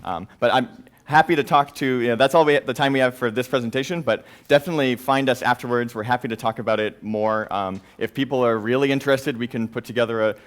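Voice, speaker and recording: Man answering questions at a conference. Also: mid-range voice. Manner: hedging, halting explanations peppered with "you know". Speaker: conference presenter.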